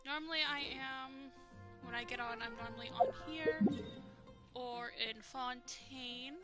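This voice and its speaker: Soft-voiced, chatty young woman streamer. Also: sits high, fairly even intonation, a little slow. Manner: rambling, chatty, laughs often. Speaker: game streamer. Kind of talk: casual gameplay commentary. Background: game music.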